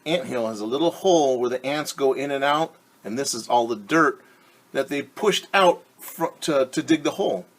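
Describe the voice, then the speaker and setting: A man teaching young children, reading a picture book aloud and gently asking them questions. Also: medium pitch, moderate pace. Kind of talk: children's story time read-aloud.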